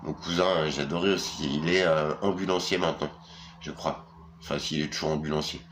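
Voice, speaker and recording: Worn-out middle-aged french guy ranting to his camera, really deep voice, crude and rambling, a bit of hiss behind. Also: steady tone, a little fast, a bit bright. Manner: rambling, crude, restless, many fillers and self-interruptions. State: tired. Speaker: vlogger. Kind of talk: casual ranting monologue to camera.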